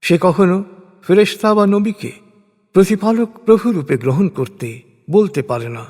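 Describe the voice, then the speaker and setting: A man reading a scripture translation as a solemn, formal scripted narration, with measured and deliberate phrasing. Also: sits high, darkish tone. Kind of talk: solemn scripted religious recitation in prose. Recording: long hall-like reverb.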